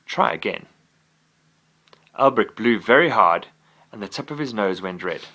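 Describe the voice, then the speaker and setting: Father narrating a bedtime story, low, very expressive. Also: normal speed. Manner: gentle, animated reading.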